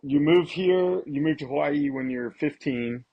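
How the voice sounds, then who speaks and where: A man co-hosting a bird podcast, chatty and quick with questions for his guest. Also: a little high, husky, lo-fi sound. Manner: chatty, asks questions, frequent restarts. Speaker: podcast co-host. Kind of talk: casual chat.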